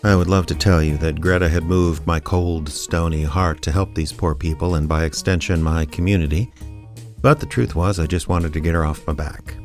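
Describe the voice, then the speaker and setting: An actor playing a sardonic, reluctant small-town investigator in a radio drama, with a dry, wry, hardboiled delivery. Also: male voice, low-pitched. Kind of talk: hardboiled detective radio drama narration and dialogue.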